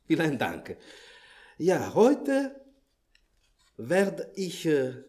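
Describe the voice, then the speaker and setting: A man whose first language is Malagasy, speaking German with a French accent as a visiting preacher in church, halting and searching for words. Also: a little high, huge pitch swings, a little mellow, slight background noise. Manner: halting, many fillers and restarts, searches for words. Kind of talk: church greeting and sermon opening. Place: church hall.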